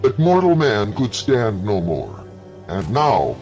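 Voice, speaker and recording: Hot-tempered male artist, bitter and exasperated, loud, voiced by a man; storybook. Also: fairly low pitch, big pitch swings, takes it slowly. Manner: shouting, exasperated. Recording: dull lo-fi with faint music.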